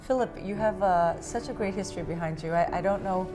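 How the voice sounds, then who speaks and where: A woman's voice: mid-range voice, fairly quick, music in the background. A woman hosting a TV interview at a guest's home, asking admiring, leading questions. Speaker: TV interview host.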